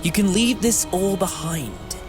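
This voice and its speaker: A man's voice: sits high, a little slow, over music. A man playing an eccentric time-travelling hero in an audio drama, wry, modest and moralising, with a theatrical manner. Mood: candid, persuasive.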